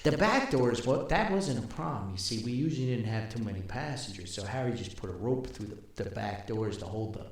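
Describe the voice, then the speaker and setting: An older man telling a rambling, casual story as a podcast host, with a folksy, wry, halting manner. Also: fairly low pitch. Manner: folksy, wry, animated, halting with long asides. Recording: very echoey, reverberant room.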